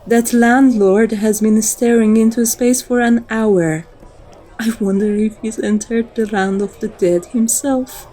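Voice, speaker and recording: Slow, mellow seductive vampire woman acted by a woman. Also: on the high side, fairly even intonation, close to an everyday voice, poor audio. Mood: coaxing, playful. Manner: slow, poetic, intimate delivery. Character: seductive, devoted, dominant female vampire. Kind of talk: ASMR roleplay acting.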